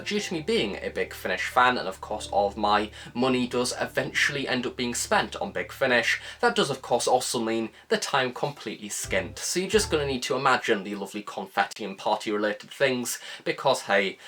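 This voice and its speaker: A man's voice: mid-range voice, bright, forceful tone. Chatty, emphatic man reviewing. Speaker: review channel host. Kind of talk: enthusiastic scripted audio drama review with casual asides.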